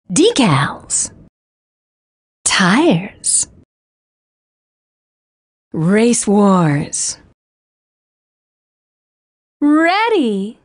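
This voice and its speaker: A woman's voice: medium pitch, no echo, clean studio sound, heavily acted. A woman voicing a spirited arcade racing game announcer with short, punchy calls. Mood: excited.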